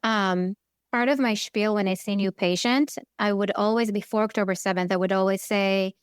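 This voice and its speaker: A woman's voice: mid-range voice, steady tone, normal speed, clear, resonant tone, no echo, clean studio sound. A woman with an Israeli accent talking in a candid, direct way in a podcast conversation. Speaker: podcast co-host, therapist.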